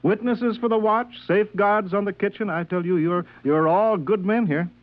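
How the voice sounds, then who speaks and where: A man's voice: high voice, normal speed, lightly acted. Man acting a sly, affable schemer, tinny radio. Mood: affable, coaxing. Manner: affable, insinuating, coaxing. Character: smooth-talking, manipulative male passenger sowing distrust aboard. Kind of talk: old-time radio drama acting. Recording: tinny, band-limited old radio recording.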